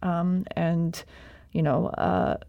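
A woman speaking as a guest in an interview, explaining in a measured way with frequent "um"s. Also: fairly low pitch, fairly even intonation, vocal fry, phone-quality sound. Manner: measured, explanatory, many fillers. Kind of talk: radio interview.

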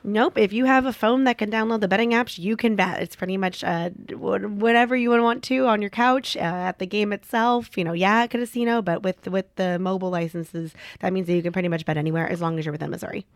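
Slightly gravelly woman reporter, dry sound. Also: medium pitch, fast, hardly any pauses, no room sound. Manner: informative, with light laughs and fillers. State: hoarse from a sinus infection. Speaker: politics reporter. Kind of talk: radio interview answers.

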